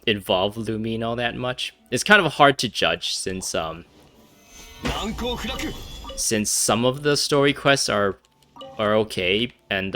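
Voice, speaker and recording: Guy rambling over a game playthrough, kinda low, punchy bright voice, lots of ums and likes. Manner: rambling, hesitant, frequent fillers and restarts, laughs often. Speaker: gaming commentator. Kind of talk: casual gameplay commentary.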